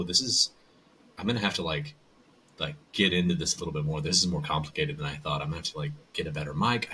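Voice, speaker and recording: A man's voice: deep voice, pushed and bright, a little hiss or hum. A man from the American South, a voice actor and audiobook narrator, telling his story as a guest in a casual chat with lots of restarts. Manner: rambling, loose storytelling with many restarts.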